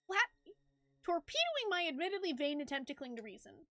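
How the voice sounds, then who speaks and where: A woman's voice: very high-pitched, very animated, brisk, fairly bright tone, faint music behind. A woman reading visual novel narration aloud as a game streamer, in a scripted storytelling style.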